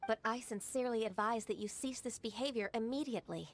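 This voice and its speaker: A woman voicing a composed, formal female secretary in a video game, polite and measured, over game music. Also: on the high side, slight background noise, lightly acted.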